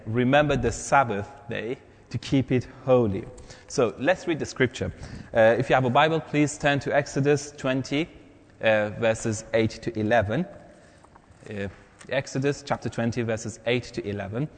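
A male pastor speaks to his congregation in an informal, conversational sermon style. His medium-pitched voice rings through a hall with long reverb. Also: moderate pace. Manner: informal, quizzing the listeners. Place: large reverberant church hall.

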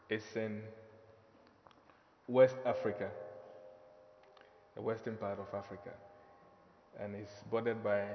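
A man's voice: lowish voice, background noise. A man in his forties with a Ghanaian accent, preaching a sermon in a friendly, conversational, self-deprecating way. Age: 40s. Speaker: pastor. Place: church hall. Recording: dull reverberant church hall.

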